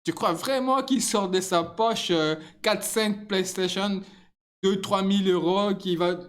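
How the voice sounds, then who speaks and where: A middle-aged man, not a native French speaker, chatting casually to his viewers with frequent laughs and halting restarts. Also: sits high. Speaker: channel host.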